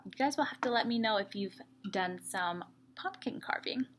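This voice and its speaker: A woman teacher reading a story aloud to young children, with expressive emphasis and explanatory asides. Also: mid-range voice, moderate pace, slight background noise.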